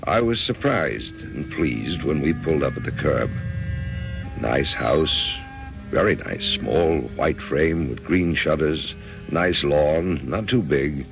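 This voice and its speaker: An actor in a radio drama playing a staid, matter-of-fact widowed businessman around sixty, brisk and slightly awkward. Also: extremely low pitch, animated, gravelly, over music, full character voice. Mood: reflective narration. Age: 60s. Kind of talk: old-time radio drama acting. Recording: muffled old radio broadcast recording.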